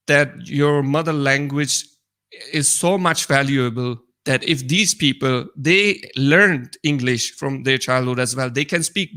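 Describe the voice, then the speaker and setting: Higher-pitched Pakistani man, halting delivery. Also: sharp tone. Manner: halting, searching for words. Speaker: co-host. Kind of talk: casual live discussion, reading viewer comments.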